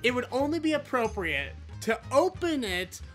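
A man's voice: very high-pitched, very animated, moderate pace, a bit bright, soft background music. A man in his late twenties with an American accent, hosting a toy unboxing in a gushing, theatrical way into a desk microphone. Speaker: host of a toy unboxing show. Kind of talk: excited unboxing chat to camera. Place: small room full of toy shelves, indoors. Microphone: desktop USB condenser microphone.

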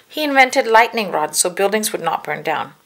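A woman reading a children's storybook aloud, with careful, deliberate phrasing for young listeners. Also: mid-range voice, animated, creaky, fairly bright tone. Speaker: children's book reader. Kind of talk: read-aloud storybook narration.

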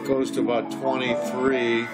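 A man's voice: medium pitch, little pitch movement, measured pace, rough recording. A man giving casual, laid-back commentary in short offhand remarks while demonstrating his hobby indoors. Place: dim room at home, indoors. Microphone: handheld camera's built-in mic, behind the camera. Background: music and sound from a shortwave radio.

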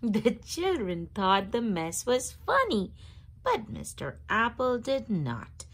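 A woman's voice: fairly high pitch, wildly swooping pitch, slow pace, fairly bright tone, breathy edge. A woman reading a children's story aloud expressively, drawing out key words for young listeners. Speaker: storybook reader.

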